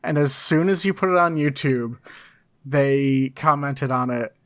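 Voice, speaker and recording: A man with an average-pitched voice talks as a podcast co-host. His style is casual and conversational, with dry, wry comic banter. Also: measured pace, fairly bright tone, no echo.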